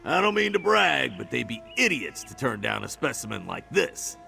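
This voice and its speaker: A brawny orc brawler with a gravelly, bright, forceful voice that swings widely in pitch. Coarse and teasing, he boasts about himself in a video game scene. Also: very animated, normal speed, faint music behind, heavily acted. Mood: gruff. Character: gruff boastful male orc ally.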